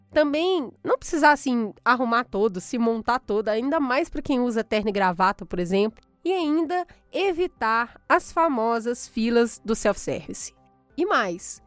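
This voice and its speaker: A woman's voice: a little high, no echo. A woman hosting a podcast, reading a chatty scripted intro full of rhetorical questions, then interviewing a guest. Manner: friendly, conversational, rhetorical questions. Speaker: podcast host.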